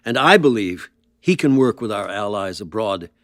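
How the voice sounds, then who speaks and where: An actor playing a measured, statesmanlike president in a TV interview, speaking deliberately, gravely and diplomatically. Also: male voice, lowish voice, lively intonation, no room sound. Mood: firm, diplomatic. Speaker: politician being interviewed. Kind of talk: formal political interview.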